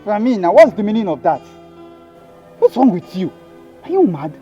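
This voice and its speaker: A man plays a young lover whose voice swings widely between low wheedling and sharp yelling. He has a soft, mellow tone. The sound is slightly muffled, with music underneath. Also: sing-song. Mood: furious. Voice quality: loud and rapid, shouting accusations. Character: young man, Victor, denying he fathered a child. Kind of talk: Nollywood-style skit acting.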